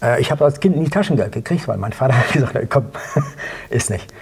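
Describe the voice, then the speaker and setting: Excitable middle-aged man with a husky voice. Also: mid-range voice, brisk. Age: 50s. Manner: excitable, acts out dialogue in short bursts. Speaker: interview guest. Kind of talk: animated anecdotal storytelling. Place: small indoor studio set at a table.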